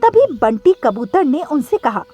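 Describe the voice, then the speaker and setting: A woman's voice: high-pitched, big pitch swings, measured pace, mellow. A woman narrating a scripted cartoon story as the storyteller.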